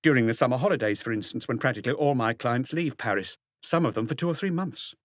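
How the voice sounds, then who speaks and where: A stubborn middle-aged working woman, played by a male narrator, pitched well above his natural register. She answers curtly and defensively, giving nothing away, in a close, dry audiobook sound. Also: fast, dry recording, natural voice. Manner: curt, evasive, defensive answers.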